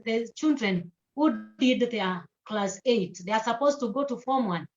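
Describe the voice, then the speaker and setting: A woman's voice: on the high side, lo-fi sound. A woman from Kenya speaking earnestly as a guest in a panel talk, repeating herself and restarting phrases. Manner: earnest, repetitive, with many restarts. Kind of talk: panel talk answering questions.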